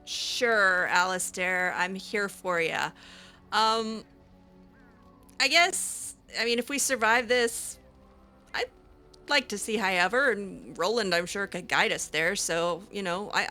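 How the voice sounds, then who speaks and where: A woman's voice: mid-range voice, animated, a little slow, pushed and bright, soft background music. A young woman roleplaying her game character aloud, talking hesitantly with many fillers and restarts. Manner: hesitant, filler-heavy, giggly.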